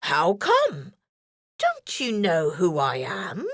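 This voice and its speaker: A woman voicing a cunning, greedy, grumpy male wolf in a children's story, with a sly, drawn-out delivery. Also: on the low side, wildly swooping pitch, a bit bright, no echo, clean studio sound, voice pushed down, heavily acted. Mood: sly, wheedling.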